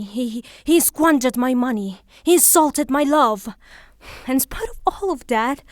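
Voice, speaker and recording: An actress playing a proud, passionate young widow in a theatrical audio drama, haughty and dramatic in manner. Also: female voice, on the high side, a little mellow, no room sound, studio quality.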